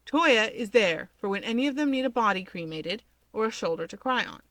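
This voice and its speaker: Woman reading fiction aloud, bright crisp tone, super dry close sound, expressive. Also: fairly high pitch, fairly quick, no echo. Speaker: fan fiction reader. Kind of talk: scripted story narration.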